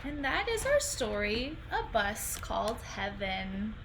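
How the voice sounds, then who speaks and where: A young woman reading a children's picture book aloud in an expressive, deliberate storytelling style, recorded at home on a computer microphone. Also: a little high, noisy background. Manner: animated, deliberate phrasing.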